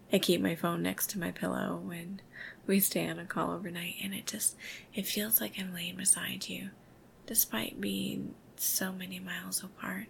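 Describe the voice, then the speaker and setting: A woman doing a whispered ASMR girlfriend roleplay, soft, intimate and affectionate. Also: mid-range voice, gravelly, a little hiss or hum.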